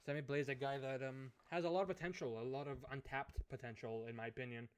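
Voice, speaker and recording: A man with a hoarse, fading voice, thinking aloud as he commentates a hockey management game. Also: mid-range voice, steady tone. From Canada. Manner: musing aloud, unhurried, thinking through choices. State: hoarse, losing his voice. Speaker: gaming commentator. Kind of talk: let's play commentary of a management sim.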